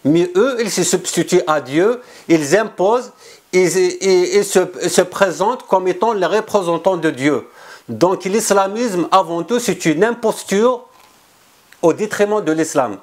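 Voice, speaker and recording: A man's voice: high-pitched, lively intonation, unhurried. A man speaking as an author in an interview, earnest and insistent, often restarting and repeating words. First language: not French. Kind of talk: explanatory interview answers.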